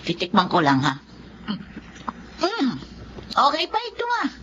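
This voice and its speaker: Defensive Filipino food-stall boss, exclamatory, very animated radio drama delivery over a noisy track. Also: female voice, a little high, a little slow, dull audio, heavily acted. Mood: bossy, casual. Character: brazen, evasive woman running a cheap neighborhood eatery.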